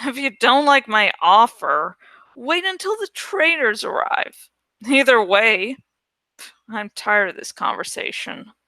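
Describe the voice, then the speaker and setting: A woman voicing a gruff, surly, calculating male village butcher in a storybook reading, curt and impatient. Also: on the high side, big pitch swings, takes it slowly, sharp tone, dry recording, pitched below the performer's own voice, exaggerated voice. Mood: dismissive.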